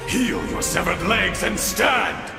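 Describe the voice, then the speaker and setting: Ancient male vampire for anime: mocking, theatrical, creaky and swooping, loud music behind. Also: high voice, very animated, exaggerated voice. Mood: manic taunting. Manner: theatrical, savoring words slowly, taunting. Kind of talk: anime dub acting. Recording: reverberant clip under loud music.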